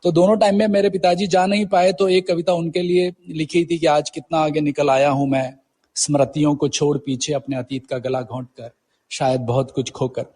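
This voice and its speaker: A man's voice: a little high, steady tone, moderate pace, mellow, breathy edge. A middle-aged man from India talking reflectively in an interview, mixing in English words and telling anecdotes conversationally. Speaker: guest poet and professor.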